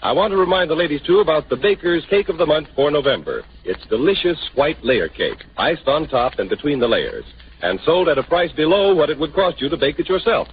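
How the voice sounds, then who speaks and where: An American man announcing and interviewing in the style of a 1930s radio sponsor pitch. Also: sing-song, fairly quick, a little hiss or hum. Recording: tinny band-limited 1930s radio broadcast, music.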